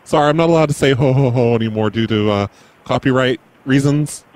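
A man doing prank phone calls as a mall Santa, in a deadpan, crude, mock-serious way. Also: medium pitch, fairly quick. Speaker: prank call host.

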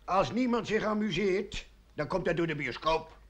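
A man playing a blunt, excitable village councillor in a radio play, emphatic and repetitive. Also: sits high, normal speed. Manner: emphatic, repeats words.

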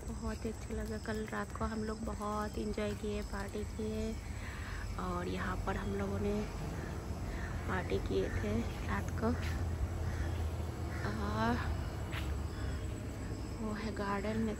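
A woman's voice: mid-range voice, little pitch movement, slow, long pauses, very noisy. A woman vlogging casually on a phone at an outdoor resort, showing viewers around in a chatty way. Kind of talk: casual vlog narration.

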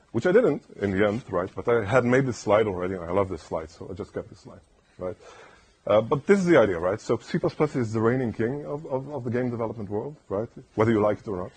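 A man with a soft, soothing voice gives a loose conference talk in a lecture room. His voice sits low and sounds very husky and breathy. He rambles and keeps asking the room "right?". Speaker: conference speaker. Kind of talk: casual technical talk.

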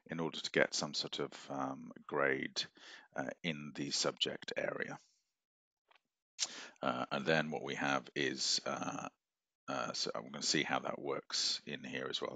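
A man's voice: extremely low pitch, gravelly, bright, forceful tone, no room sound. A middle-aged man giving a recorded university lecture from his office over a webcam, rambling with many fillers and restarts. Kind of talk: recorded lecture walking through a syllabus. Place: office at a desk, screen-sharing.

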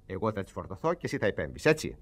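A man's voice: low voice, very animated, vocal fry, a little hiss or hum, heavily acted. A scheming older gang boss in a theatrical radio comedy. He blusters and scolds his apprentices with pompous, exasperated authority, often repeating himself. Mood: conspiring. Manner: exasperated, pompous lecturing with stammered repetitions.

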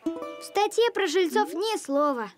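Very high, curious cartoon girl. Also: expressive, a little slow, music in the background. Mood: inquisitive. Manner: eager, inquisitive. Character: curious, bubbly young girl. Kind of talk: animated cartoon voice acting.

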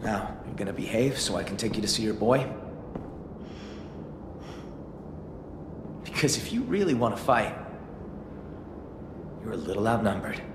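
An actor playing a wary but calm teenage leader of survivors in a video game, with an American accent and a casual, assured authority. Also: male voice, fairly low pitch, brisk, noisy background. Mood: firm, controlled. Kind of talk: video game drama acting. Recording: echoey hall reverb, music underneath. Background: music.

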